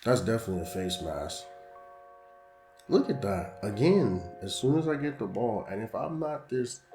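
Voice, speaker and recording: A man's voice: lowish voice, big pitch swings, normal speed, dark tone. A young man with a strained, fading voice, giving casual slangy commentary over a football video game and getting frustrated with the plays. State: losing his voice. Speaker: gaming YouTuber. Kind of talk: gameplay commentary. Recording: roomy echo with background music.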